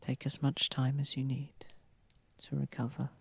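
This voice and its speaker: An actor voicing a posh, gentle, protective vampire lord in an ASMR roleplay, soft-spoken, husky and unhurried, close to the microphone. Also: mid-range voice, completely flat delivery. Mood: tender, soothing. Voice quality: breathy, husky, slightly creaky. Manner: soft-spoken, soothing, unhurried, slightly formal. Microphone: close microphone, dry.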